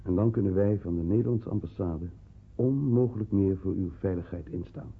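A muffled, phone-like old radio play recording of a consulate official. His voice is low and slightly husky. He is courteous and formal, delivering grave warnings with measured emphasis. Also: full character voice. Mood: grave, insistent. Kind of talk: radio drama acting.

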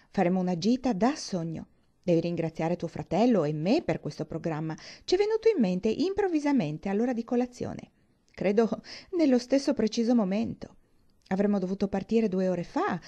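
A woman's voice: medium pitch, mellow, natural voice. A woman voicing an affected, effusive young lady who coaxes and gushes, in an audiobook. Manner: effusive, cajoling, drawn-out endearments. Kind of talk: audiobook character dialogue.

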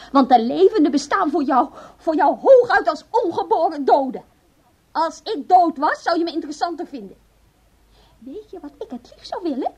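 A woman's voice: very high voice, heavily acted. An actress playing a bitter, frustrated wife who mockingly taunts her husband, in a theatrical Dutch radio play style. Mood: bitter, provocative. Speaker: radio drama actor.

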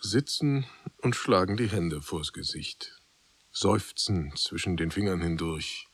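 A man's voice: deep voice, animated, slow, breathy edge, dry recording. A man narrating a literary radio drama in German, in a scripted storytelling style.

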